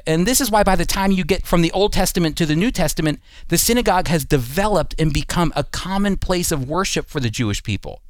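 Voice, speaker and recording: A man's voice: on the high side, animated, quick pace, few pauses, no echo. An American man hosting a Bible teaching program, explaining earnestly and emphatically in a prepared talk. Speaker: radio Bible teaching host. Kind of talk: teaching talk with scripted segments.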